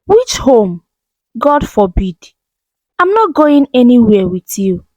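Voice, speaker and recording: A woman voicing a loyal young woman in a story, earnest and expressive. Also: on the high side, takes it slowly, no echo, lo-fi sound. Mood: frightened, defiant. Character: gentle young woman, loyal, firm when threatened.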